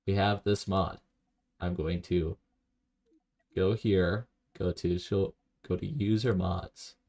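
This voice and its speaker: A man with a deep, gravelly voice streams a game, drifting through low-key, rambling self-talk. He speaks slowly and reads viewers' messages aloud between moves. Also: steady tone, darkish tone. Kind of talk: casual live-stream commentary while playing.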